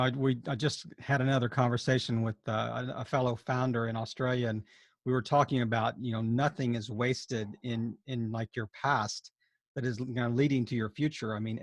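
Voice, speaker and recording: A male podcast host asking questions in a reflective, halting way over a video call. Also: medium pitch, no echo, studio quality. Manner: reflective, warm-hearted, with halting restarts. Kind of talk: casual interview chat.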